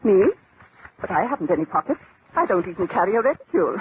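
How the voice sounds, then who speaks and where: An actress playing a bossy, fluttery society hostess in a theatrical 1940s radio style. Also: female voice, mid-range voice, very animated, fast talker, close to an everyday voice. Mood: imperious, indignant. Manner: gushing, imperious, theatrical. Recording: tinny, noisy old radio recording.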